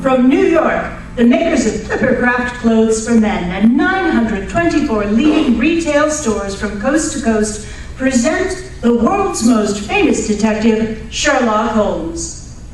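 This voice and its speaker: A woman announcing in a scripted old-time radio style, with a measured, theatrical delivery. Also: on the high side, animated. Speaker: announcer of a live radio play re-creation. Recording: very echoey live hall, distant mic, faint noise.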